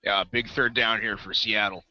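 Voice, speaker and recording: Man, lower pitch, very forceful edgy tone, casual sports color commentary, dry lo-fi sound. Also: fairly even intonation, moderate pace. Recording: dull lo-fi, close dry audio.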